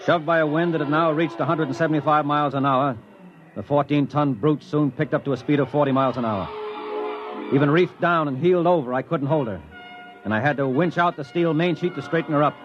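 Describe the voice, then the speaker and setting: A man's voice: fairly high pitch, brisk, lightly acted. An actor playing a resolute, practical spaceman in a 1950s radio drama, speaking decisively and dramatically. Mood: grave, tense. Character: resolute, practical spaceman narrating his storm ordeal. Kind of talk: 1950s radio drama acting, with first-person narration. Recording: muffled old radio recording with music.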